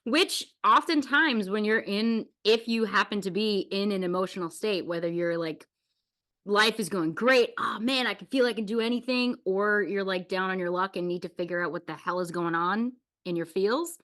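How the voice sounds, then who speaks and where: A woman's voice: mid-range voice, normal speed, a bit bright. A woman hosting a casual podcast interview, talking in an informal, emphatic and encouraging way. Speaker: podcast host.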